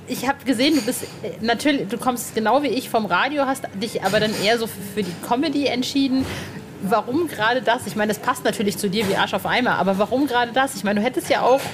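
A woman's voice: on the high side, fast talker. A woman hosting a casual podcast interview, speaking in a cheeky, irreverent and slangy way. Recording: clearly noisy background ambience.

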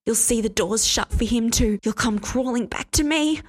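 A sugary, self-righteous woman who sounds far higher and brighter than a plain speaking voice, all condescension and pity, as a woman reads her lines aloud. She pours out syrupy sweetness while she meddles, in a storybook reading. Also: normal speed, natural voice. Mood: shrill, outraged. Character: syrupy, pious, controlling woman, a meddling friend.

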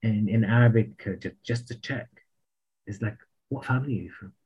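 A husky man with a British accent tells his stories with energy, slipping into the voices of the people he met. His voice is a little breathy, and he laughs easily as he goes. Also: on the low side, fairly quick, highs cut off. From Palestine. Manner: animated anecdotes, laughs often, acts out voices. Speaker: memoir author guest. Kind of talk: storytelling in an interview.

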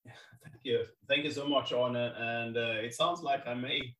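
A man's voice: mid-range voice, fairly even intonation, a little slow, fairly bright tone, a little hiss or hum. A male podcast host asking questions hesitantly, with many fillers and repeated words. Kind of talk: casual interview hosting. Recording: echoey room, far from the mic.

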